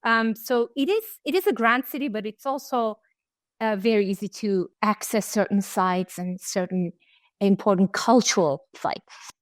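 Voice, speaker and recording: Woman, program director answering questions, measured and explanatory, mid-pitched, dry close sound. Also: dry recording. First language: Turkish. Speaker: study abroad program director. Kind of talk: interview answers.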